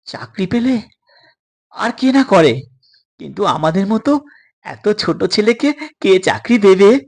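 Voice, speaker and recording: A man plays a young runaway boy in a suspense audio story. He sounds earnest and wary, speaking plainly at an unhurried pace. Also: high-pitched, expressive, gravelly, darkish tone, pitched above the performer's own voice, heavily acted. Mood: eager, curious.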